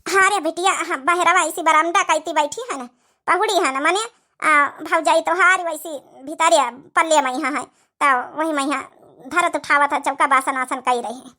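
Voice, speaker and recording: High bright cartoon old village mom woman acting it, awadhi accent, sickly, plaintive, keeps soothing. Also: steady tone, normal speed. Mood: reassuring. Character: ailing, self-sacrificing old village mother. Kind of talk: Awadhi comedy cartoon dialogue.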